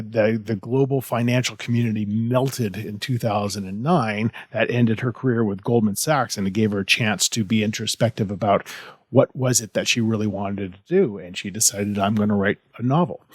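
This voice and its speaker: A man's voice: mid-range voice, dry recording, clean studio sound. A man hosting a casual interview podcast, rambling with many restarts and fillers. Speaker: podcast host.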